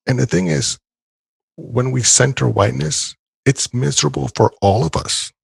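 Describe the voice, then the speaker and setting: A man's voice: mid-range voice, normal speed, vocal fry, slightly rough, no room sound. A man co-hosting a podcast, talking in a thoughtful, rambling way with many restarts. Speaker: podcast co-host. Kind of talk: casual podcast conversation.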